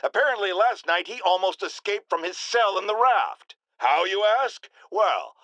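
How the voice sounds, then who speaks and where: A middle-aged game radio shock jock, bombastic and paranoid. His pitch sits high and swings wildly as he delivers indignant, pompous talk-radio rants. The tone is bright and forceful, with every point hammered home. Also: very animated, moderate pace, dry recording, exaggerated voice. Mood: incredulous. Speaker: radio talk show host. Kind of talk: theatrical talk-radio rant.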